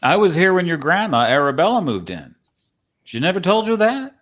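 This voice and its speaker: A man's voice: high voice, very animated, normal speed, a bit bright. Folksy cowboy played by a man, swooping intonation. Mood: folksy, wry. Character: folksy, polite old cowboy figure living on a wall. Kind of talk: dramatic play reading.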